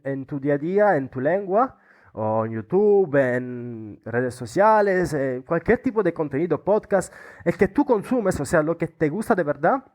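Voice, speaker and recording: A young Italian man with an Italian accent, a podcast guest chatting casually and often pausing, restarting and searching for words. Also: fairly high pitch. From Italy. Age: young adult. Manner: hesitant, many restarts and fillers, searching for words. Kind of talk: casual interview chat.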